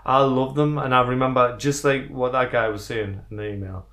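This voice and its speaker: Mid-pitched man in casual podcast chat. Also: steady tone, moderate pace, fairly bright tone. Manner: informal, opinionated, swears casually. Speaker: podcast co-host. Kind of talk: casual chat about retro video games.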